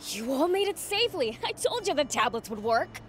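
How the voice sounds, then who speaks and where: A woman's voice: high-pitched, sing-song, fairly quick, soft background music. A woman voicing a brash, cocky young demon prince in a video game, bossy and informal. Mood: excited. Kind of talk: video game cutscene acting.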